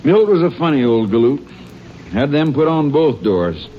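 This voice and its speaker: A man's voice: medium pitch, big pitch swings, close to an everyday voice. A man playing a folksy, drawling country sheriff. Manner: drawling, folksy, quick to bark orders. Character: folksy, gruff small-town male sheriff. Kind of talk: 1950s radio drama acting. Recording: dull old radio broadcast.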